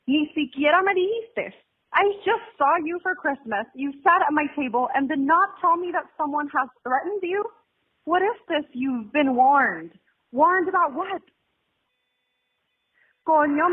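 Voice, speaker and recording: A woman playing a worried, reproachful middle-aged mother on a phone voicemail in an audio drama, scolding and pleading. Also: high voice. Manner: pleading, scolding, switches into Spanish. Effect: voicemail phone filter. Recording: muffled, band-limited phone voicemail.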